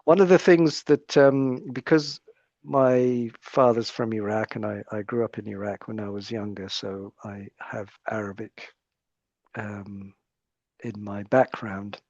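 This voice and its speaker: A man's voice: fairly low pitch, little pitch movement, a little slow, a little mellow, dry recording, highs cut off. A British man speaking as a psychiatrist guest in an interview conversation, explaining his points in a thoughtful way and often restarting his phrases.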